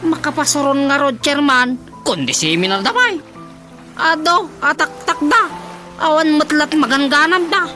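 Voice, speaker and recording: A woman's voice: sits high, lively intonation, normal speed, pushed and bright. An actress playing a complaining village woman in an Ilocano radio drama comedy, with an Ilocano accent and music underneath.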